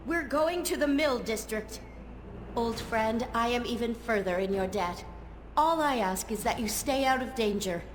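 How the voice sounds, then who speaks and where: An actress playing a commanding, indignant empress in a video game cutscene, imperious and formal in manner. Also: female voice, high voice. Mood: grave, earnest. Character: commanding, indignant empress rallying her dominion. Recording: music behind the voice. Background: game music.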